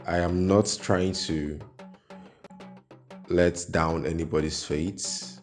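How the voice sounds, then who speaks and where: Deep, slow man in an echoey room. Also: darkish tone. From Nigeria. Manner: measured, pausing often with fillers. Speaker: YouTube host. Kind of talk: explaining folklore to viewers. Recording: echoey room with faint music.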